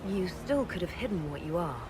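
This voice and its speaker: Woman acting a low-voiced, lively elf mage leader. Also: noisy background, lightly acted. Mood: composed, probing. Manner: measured, questioning, firm. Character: composed, direct female elf mage leading an order. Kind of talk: video game voice acting.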